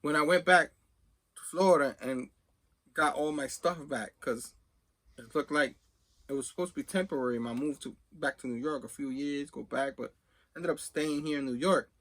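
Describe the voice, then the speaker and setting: Bright-voiced middle-aged man telling stories. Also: fairly high pitch, moderate pace. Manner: rambling, conversational, often acting out reported dialogue. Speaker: host of a comics collecting video channel. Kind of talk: casual personal storytelling to camera.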